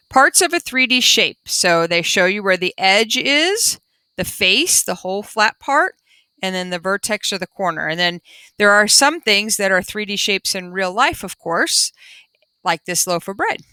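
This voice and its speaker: A woman's voice: medium pitch, expressive, moderate pace, sharp tone, smooth, no room sound. A woman teacher reading a children's book aloud and adding simple explanations for young pupils. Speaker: primary school teacher.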